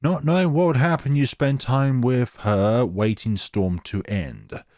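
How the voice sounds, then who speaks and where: A man's voice: medium pitch, measured pace, darkish tone, no room sound, studio quality. A man hosting a gaming let's play, reading story text aloud dramatically and dropping in casual asides. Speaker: gaming channel host.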